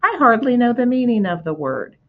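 A woman's voice: fairly high pitch, sing-song, a little fast, narrow, tinny audio, voice pushed up. A woman voicing a crafty, greedy male rat who speaks sourly and sneeringly. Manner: sour, sneering.

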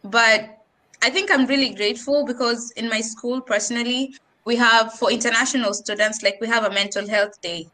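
A young Kenyan woman with a Kenyan accent talks casually on a podcast, sliding between English and Swahili slang. Her voice is bright and forward, sitting a little high. She sounds lively and chatty. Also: moderate pace.

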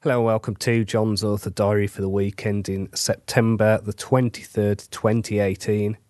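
A man's voice: lowish voice, flat delivery, normal speed, a little mellow, soft background music. A middle-aged English man with a cold, chatting casually through a weekly update on his writing. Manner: chatty, conversational, self-deprecating asides. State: congested from a cold. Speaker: fantasy author.

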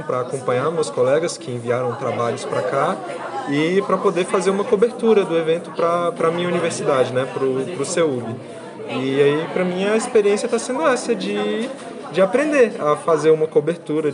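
A Brazilian man in his twenties, a student giving casual interview answers, hesitating and repeating words. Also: on the high side. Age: 20s. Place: congress venue with crowd. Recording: busy event crowd behind the voice.

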